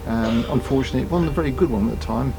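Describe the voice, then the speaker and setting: A man in his seventies from Guernsey, telling lively school memories with many pauses and restarts. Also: mid-range voice, vocal fry, over music. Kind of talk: casual reminiscing.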